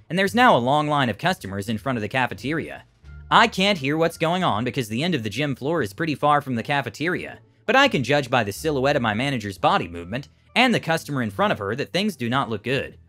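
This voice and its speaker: A man's voice: mid-range voice, fairly bright tone. A man reading a written first-person story aloud as a scripted narration. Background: background music.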